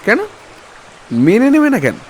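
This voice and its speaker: An actor playing a cocky, romantic, carefree young boyfriend with a Bengali accent in an animated love story. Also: male voice, extremely high pitch, normal speed, a little hiss or hum, full character voice. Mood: confident reassuring. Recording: loud music behind the voice. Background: loud music.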